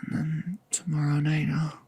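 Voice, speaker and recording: A woman playing a tender, nervous girlfriend turning vampire in an ASMR audio roleplay, speaking in a breathy, hesitant, often whispered voice. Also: deep voice, completely flat delivery, exaggerated voice. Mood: uncertain, musing. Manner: hesitant, halting, soft-spoken.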